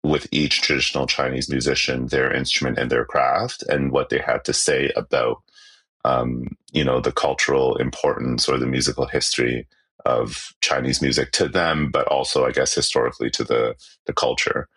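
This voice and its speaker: A Canadian musician, a native Cantonese speaker, talking casually in an interview with many fillers and restarts. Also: male voice, rumbling low, level tone, normal speed, a bit bright, husky.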